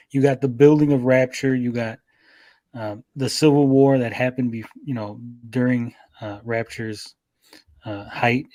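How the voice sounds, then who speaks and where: A man on a podcast panel, making his case in a measured, explanatory way with pauses. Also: medium pitch, a little mellow, dry recording. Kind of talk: casual discussion, making an argument.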